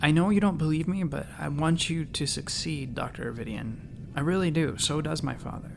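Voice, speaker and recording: A man's voice: a little high, brisk, vocal fry, noisy background. An actor playing an earnest, eager doctor in his thirties, patient but a little awkward, in a sci-fi comedy audio drama. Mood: sincere. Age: 30s. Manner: sincere, patient, slightly awkward. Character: earnest, eager thirty-four-year-old doctor, easily flustered.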